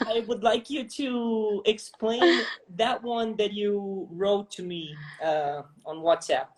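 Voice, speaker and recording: A man's voice: high voice, fairly even intonation, takes it slowly. A man from Brazil with a Brazilian Portuguese accent, hosting a bilingual English lesson with many hesitant fillers. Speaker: English teacher and host.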